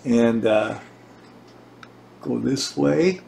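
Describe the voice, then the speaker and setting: An older man giving a casual, halting tour while filming, speaking in short pieces. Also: medium pitch, creaky, darkish tone. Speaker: home recording hobbyist presenting own gear. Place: home recording room, indoors. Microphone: camera on tripod, some distance away. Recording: roomy echo, distant mic, hissy background.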